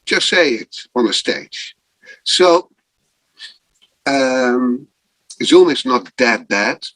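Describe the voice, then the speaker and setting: A man speaks slowly and deliberately, pausing as he thinks through his answers. His voice sits in a middle register and sounds dry and close, with no room around it. He talks casually over a video call. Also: medium pitch, animated, a bit bright, dry recording, poor audio. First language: not German. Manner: slow, thoughtful, pausing often. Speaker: guest improviser.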